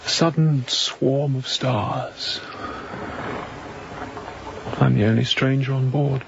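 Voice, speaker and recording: A young ship's captain in a radio play, slow and deliberate. He sounds inward and unsure of himself, with a mid-pitched voice. The recording is a little dull and lo-fi. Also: fairly even intonation, vocal fry, background noise. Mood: brooding, hushed. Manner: measured, deliberate, reflective. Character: young, newly appointed sea captain, introspective and self-doubting. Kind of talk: radio drama acting with hushed interior monologue.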